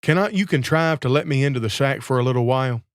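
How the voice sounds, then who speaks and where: A man's voice: mid-range voice, fast talker, clear, resonant tone, no echo, studio quality, natural voice. Man playing an earnest, gullible student. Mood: awed. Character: merry, gullible student eager for wisdom. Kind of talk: fairy tale storytelling.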